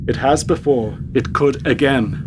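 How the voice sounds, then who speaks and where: A grave, secretive man, played by an actor in a radio play, uneasy beneath his solemn manner. He speaks in stilted, deliberate phrases at a medium pitch, with clear background noise behind him. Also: natural voice. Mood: grave, cryptic.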